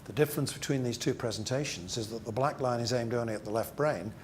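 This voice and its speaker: A man's voice: mid-range voice, a little mellow. Emphatic male presentation trainer, slightly noisy room. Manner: emphatic, rhetorical questions and deliberate repetition. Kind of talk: interactive training seminar talk to a group.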